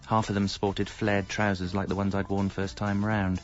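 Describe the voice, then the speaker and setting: A man's voice: sits low, flat delivery, normal speed, close to an everyday voice. An actor playing a middle-aged British married man who confesses his double life in a wry, articulate, self-mocking way, as radio comedy narration. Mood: wry, reflective. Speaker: narrator and lead character. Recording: dull lo-fi sound, faint music.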